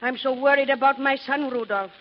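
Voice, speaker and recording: A man playing a brash, blunt, seagoing old tugboat woman in a 1940s radio comedy, rough and wisecracking. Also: very high-pitched, fairly even intonation, fairly quick. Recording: dull old radio recording, faint hiss.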